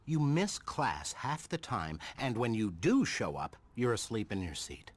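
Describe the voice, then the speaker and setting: An actor playing a stern but caring middle-aged science professor in a video game. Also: male voice, fairly low pitch, big pitch swings, unhurried. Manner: stern, admonishing.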